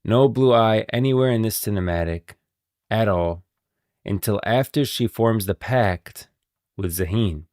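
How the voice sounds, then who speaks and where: A man's voice: on the low side, unhurried, darkish tone, dry recording, studio quality. A man doing casual live-stream commentary, talking in an emphatic, repetitive, slangy way.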